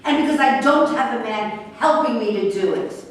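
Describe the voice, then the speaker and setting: A woman reading the part of a defiant, sharp-tongued racehorse trainer in a staged reading, combative and sarcastic. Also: on the high side, expressive, moderate pace, lightly acted. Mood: defiant, indignant. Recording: echoey room, distant mic, faint noise.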